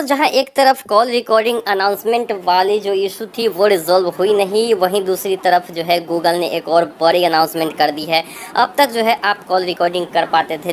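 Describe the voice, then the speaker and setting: An Indian woman narrates an informal tech news update. Her voice sits a little low for a woman. She addresses viewers directly in a conversational way, leaning on habitual filler phrases.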